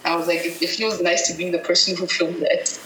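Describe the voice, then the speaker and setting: A woman's voice: on the low side, flat delivery, fairly quick, fairly bright tone. A woman from Cameroon, a guest videographer, answering interview questions casually, thinking aloud and correcting herself as she goes. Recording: echoey room with faint noise.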